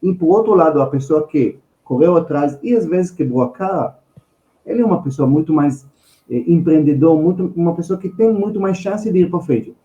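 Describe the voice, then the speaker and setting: Male podcast guest with an Israeli accent: mellow tone, emphatic conversational delivery, recorded in a roomy space. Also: on the high side, normal speed, muffled recording. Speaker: guest finance expert. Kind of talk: conversational podcast storytelling and advice.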